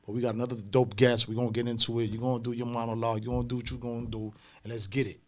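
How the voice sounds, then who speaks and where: A man's voice: mid-range voice, little pitch movement, racing. A man co-hosting a podcast, easygoing, chatting casually with the guest. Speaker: podcast co-host. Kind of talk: casual chat.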